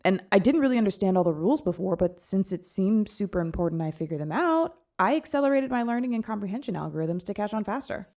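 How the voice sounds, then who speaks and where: A woman's voice: medium pitch, lively intonation, fast talker, hardly any pauses, dark tone. An actress playing a computerized teenage girl who talks in casual, upbeat teen chatter. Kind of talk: sci-fi radio drama.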